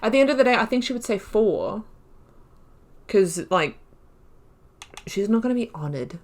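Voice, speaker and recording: A woman's voice: mid-range voice, expressive, moderate pace. A woman hosting a game playthrough, chatting casually, swearing and reading dialogue choices aloud. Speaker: gaming video host. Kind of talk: casual let's play commentary.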